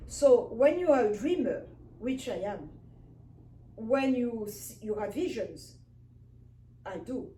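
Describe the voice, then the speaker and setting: A woman minister preaches in with a fairly high, mellow voice. She speaks earnestly and emphatically, repeating phrases for emphasis. She is recorded from a distance in an echoey room. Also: lively intonation, takes it slowly, pauses often. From Africa. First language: Swahili. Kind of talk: personal testimony sermon. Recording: echoey room, distant mic, faint hiss.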